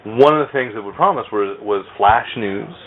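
A man's voice: lowish voice, moderate pace, slight background noise. Tinny-sounding man in casual fan chat. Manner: jokey, conversational. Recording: tinny phone-like podcast audio.

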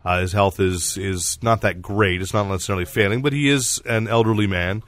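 A man hosting a casual comics podcast, chatting in a halting, digressive way with some teasing banter. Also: lowish voice, a bit bright. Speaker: podcast host and editor-in-chief.

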